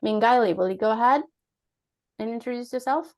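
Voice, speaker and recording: A woman hosting an online meeting, calling on speakers in a relaxed way. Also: fairly high pitch, brisk. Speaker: host, zone coordinator. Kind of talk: meeting moderation.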